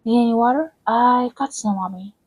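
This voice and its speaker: A woman's voice: a little high, moderate pace, a little mellow, slightly rough. A woman doing amateur video game voice acting in a polite, earnest manner. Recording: amateur recording with music behind.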